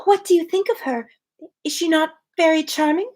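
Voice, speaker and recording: A woman's voice: very high-pitched, steady tone, moderate pace, darkish tone, voice pushed up. A woman voicing a wistful, earnest young woman from a novel, given to sighing. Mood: wistful, hopeful. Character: wistful, sweet young woman sighing over lost love.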